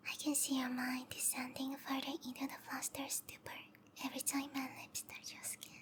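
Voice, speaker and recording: A woman voicing a sweet, obsessive snake-woman lover in a whispered ASMR roleplay, speaking softly and tenderly with intimate, lingering phrasing. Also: sits high, monotone, brisk. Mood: teasing, doting. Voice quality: soft, breathy whisper.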